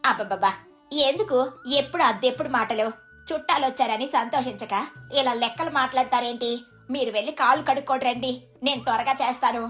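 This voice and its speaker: A woman's voice: high voice, fast talker, a bit bright, faint music behind. A woman playing a lazy, wily village wife who fusses and chides in a moral story cartoon. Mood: chiding. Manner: chiding, fussing.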